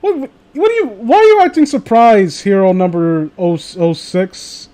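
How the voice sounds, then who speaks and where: A man's voice: sits high, measured pace, dark tone. A man hosting a livestream, chatting casually with friends and viewers in a sardonic, profane way. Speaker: livestream host.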